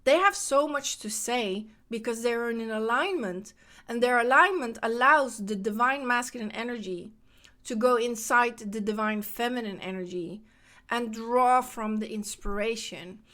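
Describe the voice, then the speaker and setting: High-voiced woman, animated monologue. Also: moderate pace. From Netherlands. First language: Dutch. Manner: animated, repeats phrases for emphasis. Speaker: podcast host. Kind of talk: casual spiritual monologue.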